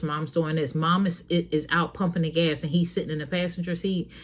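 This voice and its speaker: A woman hosting a talk show, giving earnest, frank parenting advice straight to her listeners in a casual, preachy way. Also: deep voice. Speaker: host.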